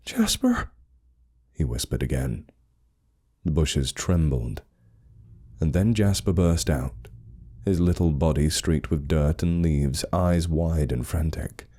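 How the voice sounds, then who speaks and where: A man's voice: rumbling low, mellow. A man narrating a scripted horror story with suspenseful, dramatic delivery.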